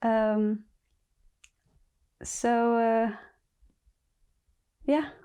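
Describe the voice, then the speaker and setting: Slow, mellow-voiced Polish woman. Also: fairly high pitch, steady tone, clear, resonant tone. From Poland. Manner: thinking aloud, halting with many pauses. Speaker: knitting podcast host. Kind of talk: casual chat.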